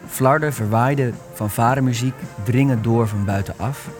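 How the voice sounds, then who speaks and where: A man reading stage directions as the narrator of a radio play, measured and descriptive. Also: mid-range voice, darkish tone, faint music behind.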